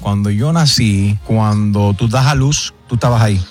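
A man hosting a morning radio show, reading promos quickly and mixing Spanish with English phrases. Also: fairly low pitch, over loud music.

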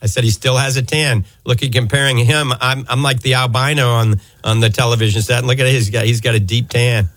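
A man's voice: medium pitch, fairly even intonation, brisk, hardly any pauses, a bit bright, no echo. An American man hosting a live health call-in show, explaining things fluently and emphatically on a close studio microphone. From New Orleans area, United States. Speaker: radio call-in show host, eye doctor. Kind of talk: live health talk radio.

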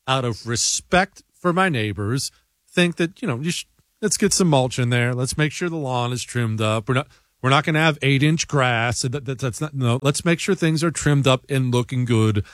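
A man's voice: medium pitch, no room sound, clean studio sound. A male talk show host answering listener emails in a blunt, opinionated, combative way, often rambling and restarting his sentences.

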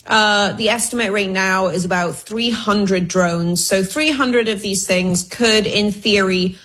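A woman gives conversational news analysis as a guest researcher. Her voice is husky and sits at a middle pitch. She explains informally, with wry understatement and frequent qualifications. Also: fairly bright tone.

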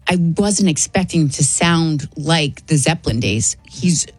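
A woman's voice: sits low, lively intonation, a little slow, husky, no echo, soft background music. A woman co-hosting a radio show, chatting in an opinionated, talkative way about concerts. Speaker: radio co-host. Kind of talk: casual radio chat.

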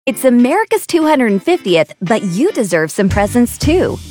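A woman announcer reading a scripted retail commercial in a bright, lively way. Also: a little high, moderate pace, faint music behind. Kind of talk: scripted ad read.

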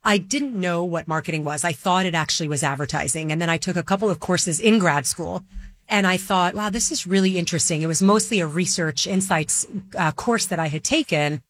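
A woman's voice: on the low side, no echo. A middle-aged woman from Dubai in the Middle East, answering interview questions in a chatty way, with lots of "like" and "you know". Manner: chatty, quick, with many fillers like "like" and "you know". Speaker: guest, marketing executive.

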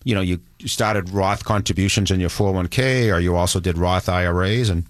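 Middle-aged male financial advisor, low and halting, slightly hoarse, dry studio sound. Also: no room sound. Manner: halting, many restarts and fillers. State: losing his voice. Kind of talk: casual radio chat.

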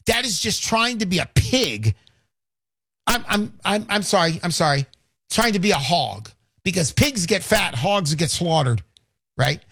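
High-voiced, fervent radio host in his sixties, a man. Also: lively intonation, a little slow, fairly bright tone, husky, dry recording, studio quality. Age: 60s. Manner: fervent, emphatic, repeats himself. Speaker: radio call-in show host and pitchman. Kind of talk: live radio sales talk.

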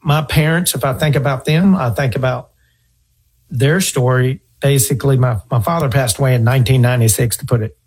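A man's voice: mid-range voice, a little fast, darkish tone, slightly rough, low-quality recording. A man in his fifties, a financial advisor talking earnestly, telling stories and repeating his points. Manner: earnest, storytelling, repeats himself. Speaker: financial advisor radio host. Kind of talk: conversational advice talk.

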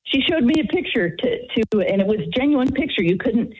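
A woman's voice: fairly high pitch, expressive, a little fast, husky. A woman in her fifties calling a radio talk show, nervous and hesitant, with many fillers and restarts. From Texas, United States. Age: 50s. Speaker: caller. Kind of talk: radio call-in chat. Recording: phone line.

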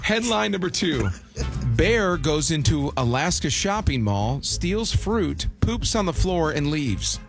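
A man's voice: fairly high pitch, very animated, takes it slowly, creaky. A man hosting a morning radio show, bantering upbeat and teasing with callers and co-hosts. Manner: playful, teasing, repeats lines for emphasis. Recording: music bed behind studio voice. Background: music.

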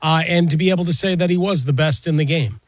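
A man's voice: on the high side, quick pace, dry recording, studio quality. A man co-hosting a sports talk show, reflective and nostalgic as he talks things over casually. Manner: reflective, nostalgic, with fillers and restarts. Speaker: sports podcast co-host.

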